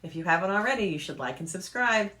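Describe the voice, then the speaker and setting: A woman's voice: fairly low pitch, animated, fast, bright, forceful tone. A woman co-hosting a podcast in a casual, chatty style. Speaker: podcast co-host. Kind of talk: casual chat.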